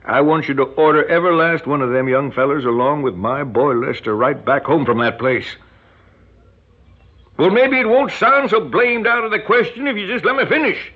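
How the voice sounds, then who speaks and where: Drawling rural Southern kidnapper, lo-fi. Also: male voice, fairly high pitch, lively intonation, moderate pace. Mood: folksy, threatening. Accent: American rural Southern. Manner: drawling, folksy, colloquial talk. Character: folksy, menacing rural kidnapper, a doting father. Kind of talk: radio drama acting. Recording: dull lo-fi radio recording, hissy background noise.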